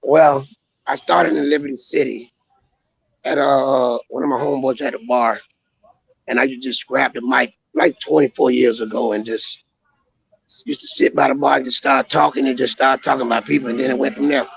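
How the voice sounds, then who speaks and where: A hoarse man with a deeply gravelly, raspy voice, a touch higher than most men. He jokes in brash, crude banter as a comedy guest. The sound is dull and lo-fi, like a call line. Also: fairly even intonation, moderate pace, dry recording. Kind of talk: casual podcast chat.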